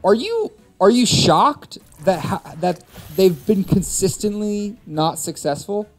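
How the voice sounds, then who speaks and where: Male streamer host, slightly high pitch, casual and opinionated, emphatic delivery, light music underneath. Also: very animated, slow pace. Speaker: host of a gaming and movie live stream. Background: background music.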